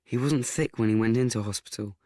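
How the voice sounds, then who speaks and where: A man's voice: on the low side, brisk, dark tone, dry recording, studio quality, close to an everyday voice. A young man playing an awkward, earnest, nervous teenage schoolboy in a radio play, hesitant and rambling. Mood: earnest, confiding. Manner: hesitant, rambling, self-conscious. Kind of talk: radio play acting with confiding narration.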